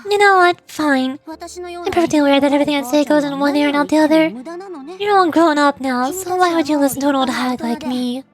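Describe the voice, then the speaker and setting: A woman's voice: high voice, brisk, natural voice. A woman voicing an indignant old granny character from a video game, nagging and berating. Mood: exasperated scolding.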